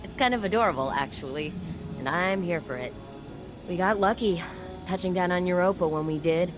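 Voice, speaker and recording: A woman's voice: fairly low pitch, a little fast, music in the background, close to an everyday voice. An actress playing a wry, upbeat young woman engineer who chats casually and cheers herself on. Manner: wry, chatty, self-encouraging. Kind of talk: video game cutscene dialogue.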